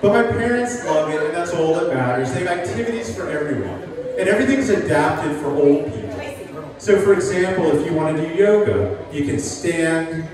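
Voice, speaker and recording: A man's voice: on the high side, background noise. An older man from New Jersey doing a stand-up set in a sing-songy voice that makes statements sound like questions. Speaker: stand-up comedian. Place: live venue with an audience. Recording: echoey hall recording from far off. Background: audience laughter.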